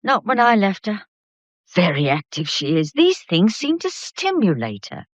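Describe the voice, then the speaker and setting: A woman's voice: medium pitch, wildly swooping pitch, unhurried, no room sound, muffled recording. A woman playing a bustling, motherly working-class English servant in a period audio drama, colloquial, fussing and comforting. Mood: brisk, comforting.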